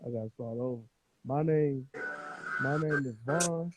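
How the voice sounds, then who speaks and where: A man from Chicago, a guest on a live stream, talking haltingly through a phone held too far away. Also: mid-range voice, noisy background. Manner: halting, repeats himself, says "you feel me". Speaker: guest, film creator. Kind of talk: casual live-stream talk. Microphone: phone held away, quiet and hard to hear.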